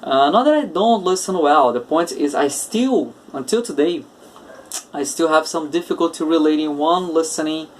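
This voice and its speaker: A man with a Brazilian Portuguese accent, an English teacher hosting his own casual podcast, talking slowly and searching for words with many filler uhs. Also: on the high side, far from the mic, a little hiss or hum. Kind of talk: casual solo podcast talk.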